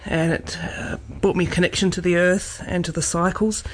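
A woman's voice: fairly low pitch, little pitch movement, moderate pace, low-quality recording. A woman sharing in a heartfelt, hesitant way in a women's circle. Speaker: group member.

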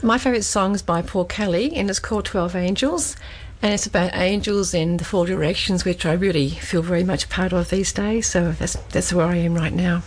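A woman with a slightly low voice speaks gently and reflectively, sharing with a group. Faint ambient sound sits behind her. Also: normal speed, few pauses, a little hiss or hum. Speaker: group member. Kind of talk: sharing in a women's circle.

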